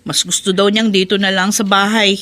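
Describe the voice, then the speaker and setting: A woman's voice: medium pitch, flat delivery, brisk, sharp tone, lightly acted. A woman with a Filipino accent playing a caring, worried grown daughter in a radio drama, earnest and pleading. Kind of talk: Tagalog radio drama acting.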